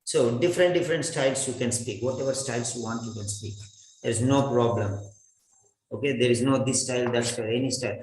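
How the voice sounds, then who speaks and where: A man's voice: medium pitch, a little mellow, roomy sound, slight background noise, poor audio. A man in his fifties from India with an Indian English accent, whose first language is Telugu, coaching an online speaking class and calling on students by name. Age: 50s. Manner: brisk, calls names, repeats questions. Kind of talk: online class practice interview.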